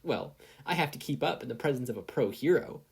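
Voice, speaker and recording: A performer voicing a gentle, polite mother with a competitive edge, in an audiobook style. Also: medium pitch, animated, fairly quick, vocal fry, breathy edge, pitched above the performer's own voice, exaggerated voice. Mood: admiring, competitive. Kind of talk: audiobook-style character acting.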